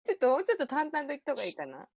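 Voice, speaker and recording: A woman casually directing an amateur radio drama read-through, encouraging and apologetic as she softens her corrections. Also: high-pitched, huge pitch swings, very fast, gravelly, no echo. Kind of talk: casual coaching, demonstrating lines.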